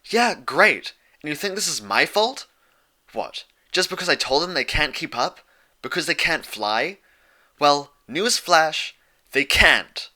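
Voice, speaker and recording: A man's voice: fairly high pitch, very animated, takes it slowly, pushed and bright, pitched above the performer's own voice, full character voice. A man voicing a brash, defensive young female pegasus pony in a storybook reading, blunt and slangy. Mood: angry, defensive.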